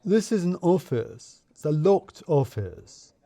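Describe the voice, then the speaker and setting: A man voicing a loud, rude, opinionated male office worker who complains bluntly in an audio story. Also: fairly high pitch, very animated, takes it slowly, no echo, voice pushed up, exaggerated voice. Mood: loud, indignant.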